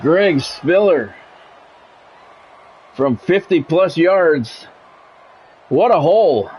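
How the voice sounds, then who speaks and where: A man's voice: a little high, lively intonation, very slow, a little mellow, a little hiss or hum. A man streaming a football video game, mixing play-by-play commentary with casual, blunt, salty chat.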